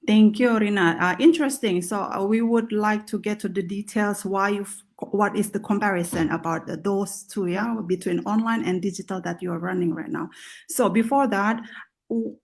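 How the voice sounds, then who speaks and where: Host, woman, gravelly voice, stop-start delivery over a video call. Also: medium pitch. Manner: halting, filler-heavy. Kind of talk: moderating an online panel.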